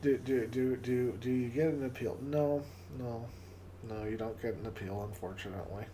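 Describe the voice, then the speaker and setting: A man with a raspy, hoarse voice hosting a casual live stream and closing with a prayer, often stumbling and repeating words. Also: medium pitch, moderate pace, mellow, a little hiss or hum. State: hoarse from coughing. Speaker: livestream host.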